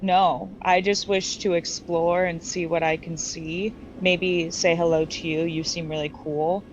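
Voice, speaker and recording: A woman's voice: fairly low pitch, level tone, measured pace, highs cut off, slight background noise. A woman playing a tabletop game, chatting casually in character. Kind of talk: casual in-character roleplay chat.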